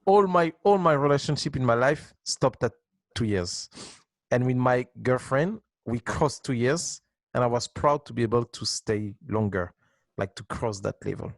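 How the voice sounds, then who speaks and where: A man in his twenties, a dance teacher, answering interview questions with halting restarts and frequent laughs. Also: medium pitch, expressive, vocal fry, no echo. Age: 20s.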